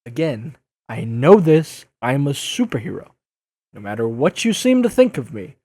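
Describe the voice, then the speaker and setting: An actor playing a young masked superhero in an audio drama, sarcastic, flustered and easily exasperated. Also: male voice, medium pitch, big pitch swings, normal speed, warm tone. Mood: defensive, sarcastic. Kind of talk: audio drama dub acting.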